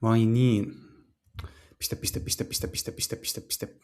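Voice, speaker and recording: A man's voice: on the low side, flat delivery, darkish tone. A man hosting a gaming video, casually translating a visual novel aloud and searching for his words as he goes. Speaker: gaming channel host. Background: music.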